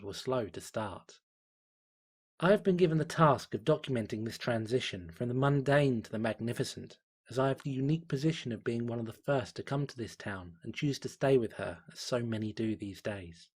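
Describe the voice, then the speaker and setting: A man reading scripted narration in a measured, formal and grave manner, like a chronicler. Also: mid-range voice, darkish tone, slightly rough.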